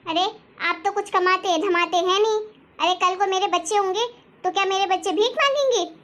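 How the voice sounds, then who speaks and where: Casting: female performer as angry young wife, extremely high voice, sarcastic and quarrelsome, moral-story family drama. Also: fairly quick, fairly bright tone. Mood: defiant, demanding. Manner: scolding, sarcastic, argumentative. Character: angry, sharp-tongued young wife demanding her share. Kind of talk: acted dialogue in Hindi family story.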